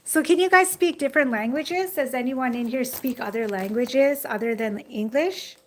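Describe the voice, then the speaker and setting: A talkative young woman chatting with her viewers on a live stream, recorded on the phone she holds in her hand. Her voice sits a little high, and she rambles easily, full of casual fillers. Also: normal speed, slight background noise. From Jamaica. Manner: chatty, rambling, frequent "like" and "you know". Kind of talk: casual live chat reading viewer comments. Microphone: phone held in hand.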